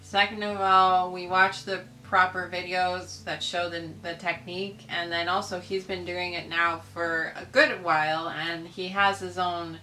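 A woman in her thirties with a bright, slightly low voice chats from a couch in her living room. She explains things to her viewers in a friendly, chatty way. The room is echoey, with faint music underneath. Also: little pitch movement, a little slow, few pauses, slight background noise. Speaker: channel host, wife. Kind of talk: casual chat.

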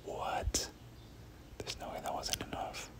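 A person whispering ASMR game commentary in a breathy voice, often repeating words and short phrases over and over. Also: slow pace. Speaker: gaming video host.

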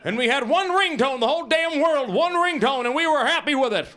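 A man's voice: very high voice, lively intonation, a little fast, bright, forceful tone, voice pushed up, exaggerated voice. A male comedian voicing a cranky, boastful eighty-year-old who rants indignantly about his younger days. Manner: indignant, emphatic ranting. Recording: live studio audience laughing.

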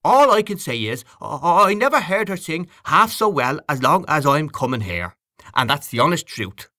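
Effusive gentleman played by a male reader, storybook dialogue, high and swooping, sincere, emphatic, stumbling over repeated praise. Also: sing-song, no echo, studio quality. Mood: earnest, emphatic. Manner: repetitive, emphatic, halting with emotion. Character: effusive, excitable, sincere man gushing praise. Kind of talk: acted audiobook dialogue.

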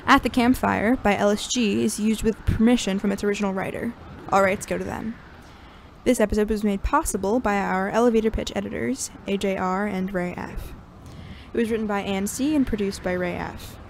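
A woman's voice: mid-range voice, steady tone, brisk, vocal fry. Woman reading credits, faint background noise. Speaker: credits announcer. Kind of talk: scripted narration.

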